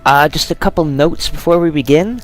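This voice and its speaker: A man's voice: fairly high pitch, normal speed, soft background music. A man hosting a gaming video with casual, rambling, self-deprecating commentary. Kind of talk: casual Let's Play commentary.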